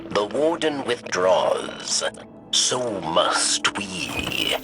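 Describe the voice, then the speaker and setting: An actor playing a wily, obsequious alien prison keeper in a video game, halting and speaking of himself in the third person. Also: male voice, low-pitched, wildly swooping pitch, creaky, exaggerated voice. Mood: urgent. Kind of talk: scripted video game dialogue. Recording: music behind the voice. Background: game music.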